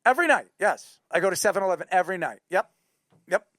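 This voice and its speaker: A man telling stories in casual chat as a talk show host, excitable, repeating himself and swearing now and then. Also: high-pitched, quick pace, dry recording, studio quality. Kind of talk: casual chat, animated storytelling.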